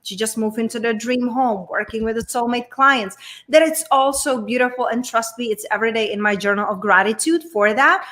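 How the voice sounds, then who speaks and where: A woman's voice: on the high side, brisk, a bit bright. A woman from the Czech Republic with a Czech accent, a guest coach sharing her personal story on a talk show in an earnest, reflective way.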